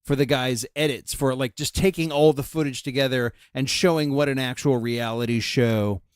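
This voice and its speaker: A man's voice: mid-range voice, fairly even intonation, moderate pace, smooth, no echo, clean studio sound. A man co-hosting a casual podcast, making wry, blunt jokes and quips. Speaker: podcast co-host. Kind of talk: casual chat.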